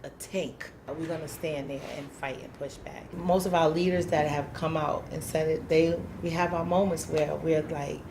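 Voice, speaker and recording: A woman's voice: deep voice, fairly even intonation, normal speed, hardly any pauses, noisy background. A woman, a community housing activist, telling her story candidly in an interview, emphatic and plain-spoken, acting out what people said.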